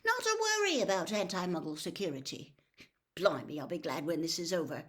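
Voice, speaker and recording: A woman's voice: fairly low pitch, very animated, fairly quick. A woman voicing an exhausted, exasperated male wizard official who mutters and grumbles, as in a storybook reading. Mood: weary, exasperated. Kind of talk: storybook character voice.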